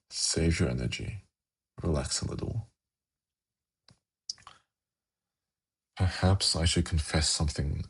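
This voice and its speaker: A man playing a seductive, ancient demon prince in an ASMR fantasy roleplay, coaxing and teasing in a slow, intimate manner with formal wording. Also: extremely low pitch, a little mellow, full character voice. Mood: soothing, confiding. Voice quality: gravelly, husky.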